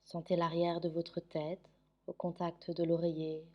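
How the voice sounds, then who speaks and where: A woman guiding a sleep meditation in French, giving soothing, gentle instructions with long pauses. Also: on the low side, monotone, dull audio. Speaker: yoga nidra meditation guide.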